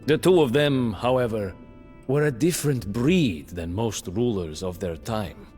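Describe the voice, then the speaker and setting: A haughty, imprisoned nobleman telling his bitter tale in a game cutscene. He speaks slowly and theatrically, his pitch rising and falling widely. The voice rings with long reverb. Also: expressive, a little mellow. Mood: grave, proud. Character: bitter, proud imprisoned Lithuanian nobleman recounting his downfall. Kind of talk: dramatic storytelling narration for a strategy game cutscene. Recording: long reverberant hall, music underneath.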